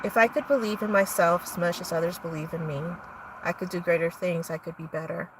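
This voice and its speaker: A woman's voice: fairly low pitch, fairly even intonation, brisk, recorded from a distance, noisy background. A young woman hosting a livestream, reading her own poems aloud with casual asides between them.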